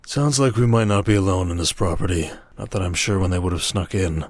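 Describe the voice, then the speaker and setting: A man playing a stern, protective merchant lord in a roleplay audio drama, speaking in a dry, measured, commanding way. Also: low-pitched, no echo. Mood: intent, dry.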